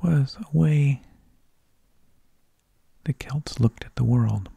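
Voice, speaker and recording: Male ASMR host: whispered, husky and gravelly, unhurried halting monologue, very dry close sound. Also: medium pitch, mellow, dry recording. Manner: slow, halting delivery with long pauses. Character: mild, tedious energy vampire who lulls people to sleep.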